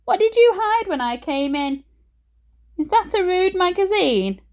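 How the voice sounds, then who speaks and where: Woman acting a high-pitched, nosy storybook mum. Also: very animated, a little slow, darkish tone, full character voice. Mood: curious, prying. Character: well-meaning, nosy mum, bright and insistent.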